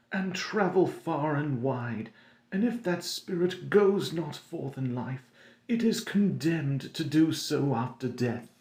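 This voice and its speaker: A man playing a wailing, mournful old ghost in chains. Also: warm tone. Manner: high, slow and mournful. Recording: echoey room.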